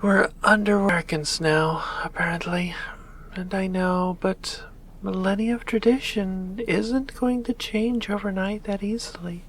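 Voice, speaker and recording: A woman playing a composed succubus demon doctor in an ASMR roleplay, breathy, measured and dryly teasing. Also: medium pitch, fairly even intonation, a little hiss or hum, close to an everyday voice. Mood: sheepish, grumbling.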